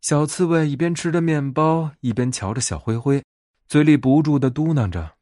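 A man narrating a bedtime fairy tale as a storyteller, in an expressive scripted read. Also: a little high, moderate pace, dry recording, studio quality.

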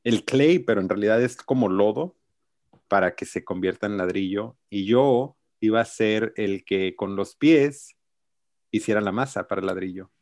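A man's voice: on the low side, normal speed, darkish tone, no echo. A man hosting a podcast, chatting in a friendly, easygoing way with his guest. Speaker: podcast host. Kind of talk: casual interview chat.

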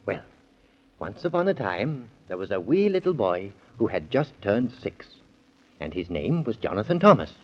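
An actor playing a gentle, playful father telling a bedtime story in 1930s radio style, indulgent and dramatic. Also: on the low side, big pitch swings, moderate pace, slightly rough. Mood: hushed storytelling. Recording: dull old 1930s radio recording.

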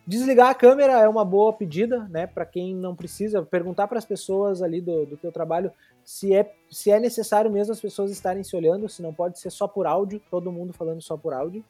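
A woman's voice: medium pitch, fast talker, few pauses, mellow, faint music behind. A woman co-hosting a podcast, explaining things in a rambling, casual way with many restarts and tag questions. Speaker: podcast co-host. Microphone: live streamed podcast.

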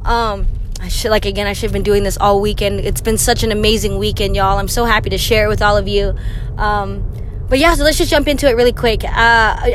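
Young female podcast host, rambling casual chat, high voice, soft car noise under her. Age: young adult. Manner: chatty and rambling, laughing, many fillers. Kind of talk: casual solo podcast monologue.

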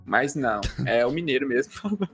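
A man's voice: medium pitch, a little fast, slightly rough, faint music behind. A man with a Minas Gerais accent, a guest comedian chatting and joking on a casual podcast. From Minas Gerais, Brazil. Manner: joking, animated, acts out quoted voices.

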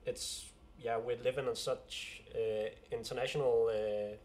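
A man's voice: mid-range voice, flat delivery, unhurried, a little mellow. A man with a Danish accent, a band member answering in English with many fillers while he searches for words. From Denmark. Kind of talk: interview answers.

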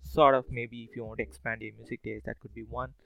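A man's voice: mid-range voice, flat delivery, quick pace, creaky, fairly bright tone. A man hosting a podcast solo, talking casually in a rambling, hesitant, informal way. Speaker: podcast host.

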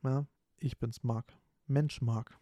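A man's voice: medium pitch, steady tone, takes it slowly, warm tone, dry recording, clean studio sound. A man in his twenties from Berlin hosting a solo podcast, talking casually and rambling with lots of fillers and restarts. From Berlin, Germany. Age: 20s. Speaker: podcast host.

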